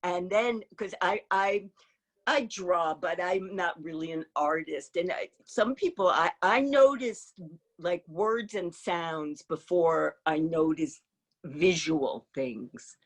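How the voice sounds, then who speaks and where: A woman's voice: lowish voice, slow pace. A woman from Israel who moved to New York as a child, hosting a casual interview in an effusive way, often repeating phrases for emphasis. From Israel, moved to New York at age four. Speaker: storytime host.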